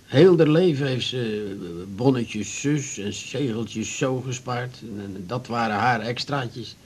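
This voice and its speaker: An actor playing a meek, grieving widower in a 1980s radio drama, halting and low-spirited, with a gravelly, husky voice. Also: male voice, darkish tone, slight background noise, close to an everyday voice. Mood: pained, aggrieved. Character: grieving, hesitant widower who drinks, meek and wounded.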